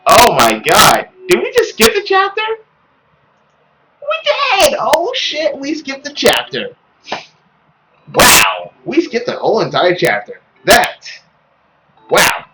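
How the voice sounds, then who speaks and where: Expressive young man with swooping pitch. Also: slow pace, pauses often, slight background noise, rough recording. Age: young adult. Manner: expressive, exclamatory. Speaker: gaming video host. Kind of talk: casual let's play commentary.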